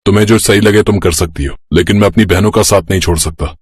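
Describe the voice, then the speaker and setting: A man's voice: sits low, fairly even intonation, fast talker, slightly rough, no room sound, studio quality. A man voicing an earnest, emphatic adult brother in a moral-story cartoon. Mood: earnest, defiant.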